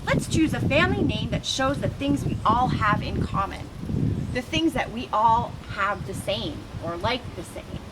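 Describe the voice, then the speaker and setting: Storybook pigeon voiced by a woman: squawky, bossy, high and bouncy, street noise behind. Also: expressive, unhurried. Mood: delighted. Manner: squawking, insistent. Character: excitable, bossy little male pigeon. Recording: noisy outdoor recording with traffic.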